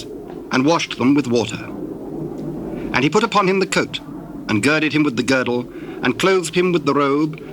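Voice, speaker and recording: Solemn male narrator, slightly high, over noisy ambience. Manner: solemn, measured storytelling. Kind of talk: scripted narration of dramatized scripture.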